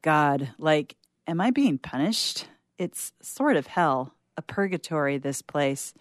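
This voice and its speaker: A woman's voice: deep voice, animated, takes it slowly, no room sound, studio quality, voice pushed down. Woman playing a frazzled, sardonic young student. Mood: frustrated, weary. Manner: rambling, slangy, self-mocking. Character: stressed, sardonic, sleep-deprived young university student. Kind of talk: dramatic monologue reading.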